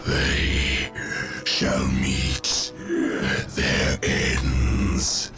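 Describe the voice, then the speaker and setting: A man voicing an ancient undead machine lord in a video game, cold, menacing and commanding, with slow, portentous pronouncements. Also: a bass voice, bright, forceful tone, husky, faint music behind, full character voice. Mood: cold menace. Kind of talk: video game villain acting.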